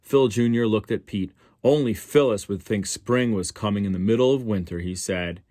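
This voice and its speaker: A man's voice: on the low side, normal speed, dark tone, dry recording, clean studio sound. A man reading a picture book aloud expressively, as a storyteller for schoolchildren.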